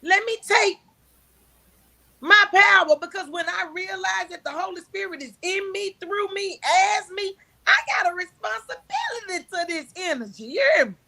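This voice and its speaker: Loud, bright-voiced woman in her forties. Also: extremely high pitch, expressive, normal speed, vocal fry. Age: 40s. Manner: loud, big voice; emphatic, preaching tone. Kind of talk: candid conversational talk.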